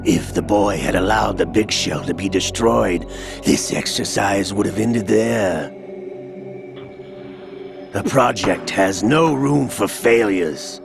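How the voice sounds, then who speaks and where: An actor playing a cold, scheming older male villain in a video game, calm, measured and mocking as he lays out a plot. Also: lowish voice, vocal fry. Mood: smug, coldly explaining. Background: game score music.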